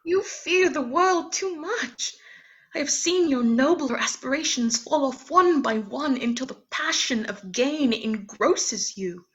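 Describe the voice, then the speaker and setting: An actress playing a ghostly guide who is also a young woman named Belle, speaking in a calm, measured, gently reproachful way in a radio play. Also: high voice, highs cut off. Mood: sorrowful.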